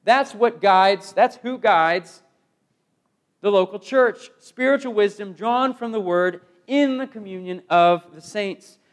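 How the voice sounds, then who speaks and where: American male preacher, middle-aged, high voice, emphatic sermon delivery, repeated phrases, wide swings in volume. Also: takes it slowly. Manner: swings from loud proclamation to hushed phrases.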